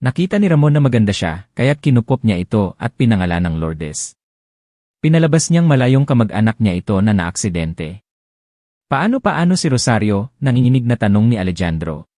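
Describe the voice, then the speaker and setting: Expressive low-voiced male audiobook narrator. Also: brisk, no room sound, studio quality. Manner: dramatic, expressive storytelling. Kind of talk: scripted narration.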